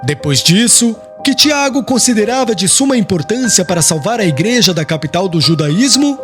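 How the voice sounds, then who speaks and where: High-pitched man narrating solemnly over music. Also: animated, moderate pace. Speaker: audiobook narrator. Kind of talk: scripted audiobook narration of a religious novel.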